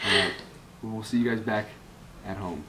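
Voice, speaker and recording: A man's voice: on the low side, normal speed, very noisy. A young man, hosting a video and chatting casually to viewers. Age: young adult. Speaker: video host.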